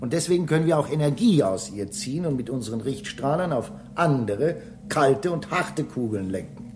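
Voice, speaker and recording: A man's voice: medium pitch, expressive, normal speed, background noise, lightly acted. A man playing a human space-station engineer in a radio drama, explaining things emphatically and with some impatience. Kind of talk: German radio drama acting.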